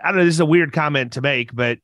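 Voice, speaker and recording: High-voiced man, casual interviewer. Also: fast talker, a bit bright, no room sound, clean studio sound. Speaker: podcast host.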